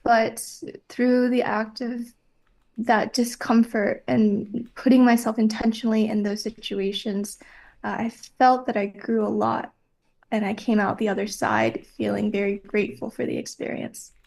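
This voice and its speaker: A young adult woman whose first language is Vietnamese, answering interview questions in a measured, reflective way with frequent pauses. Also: a little high, level tone, creaky, a little mellow.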